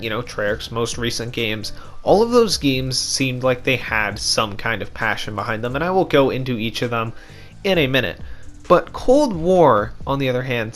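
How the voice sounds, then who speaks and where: A young man talks through a scratchy, hoarse throat in a casual gaming commentary. His delivery is a frustrated, repetitive rant at a middling pitch. Soft background music plays beneath him.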